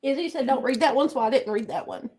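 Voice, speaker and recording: A woman's voice: sits high, fast, darkish tone, breathy edge. A woman talking casually in an informal group chat.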